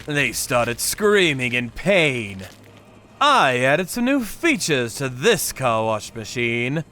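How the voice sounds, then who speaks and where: Man playing a slow, menacing avenger. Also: mid-range voice, sing-song, sharp tone, full character voice. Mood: cold menace. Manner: deliberate, menacing. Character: grim, vengeful male torturer narrating his work. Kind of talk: dramatic first-person manga dub narration.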